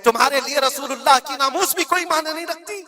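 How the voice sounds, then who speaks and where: High-pitched, forceful Indian male preacher. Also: fast. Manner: emphatic, exhorting, repeats phrases for weight. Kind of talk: impassioned religious sermon.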